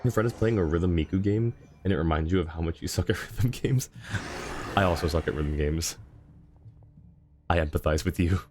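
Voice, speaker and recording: A man's voice: low voice, normal speed, pauses often, darkish tone. A man streaming a video game, giving casual commentary as he plays. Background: game music.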